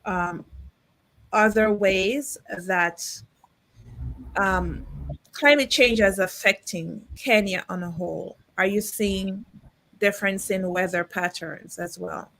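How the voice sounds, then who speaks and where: A woman hosting a conference question and answer session, halting as she puts her questions. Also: mid-range voice, vocal fry, a bit bright. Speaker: conference host and moderator.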